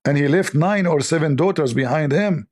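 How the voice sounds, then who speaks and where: Hoarse man, scornful lecturing commentary. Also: a little high, moderate pace, darkish tone. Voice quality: non-native English grammar. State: losing his voice. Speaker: religious debate host. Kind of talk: polemical commentary reading texts.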